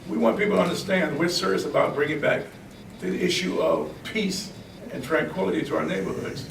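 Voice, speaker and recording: A man's voice: on the high side, fairly quick, gravelly. A man, a police chief, speaking at a press conference while losing his voice to a congested nose, earnest and repeating words as he goes. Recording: echoey room, distant mic, background noise.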